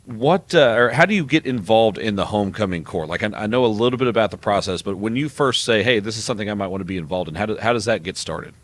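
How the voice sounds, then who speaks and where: A male podcast host with a fairly low voice who talks quickly. He keeps the interview light and friendly, tossing out questions with casual fillers. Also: expressive. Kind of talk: upbeat campus podcast interview.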